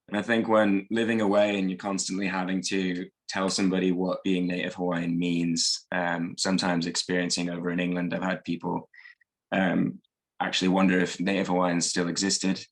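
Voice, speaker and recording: Man, 30s, deep fry-heavy voice, slightly hoarse, reflective group chat. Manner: reflective, many fillers like "um" and "like". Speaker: discussion participant. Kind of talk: casual group discussion.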